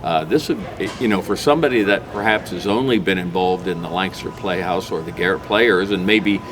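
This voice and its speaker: A man's voice: sits low, brisk, over music. An American man hosting a casual interview in a chatty, enthusiastic way. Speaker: talk show host. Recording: clearly noisy background.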